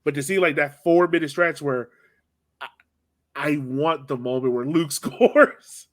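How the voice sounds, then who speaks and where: A man's voice: a little high, fairly even intonation, a little slow. A man in casual sports podcast chat, rambling along with lots of "like" and "you know". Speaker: soccer podcast host.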